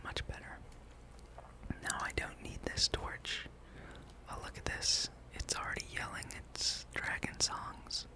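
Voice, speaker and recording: A person speaking in a breathy, unvoiced whisper, giving rambling, playful ASMR commentary while playing a video game. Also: unhurried. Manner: hushed, playful musing, reads game dialogue aloud. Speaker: gameplay streamer.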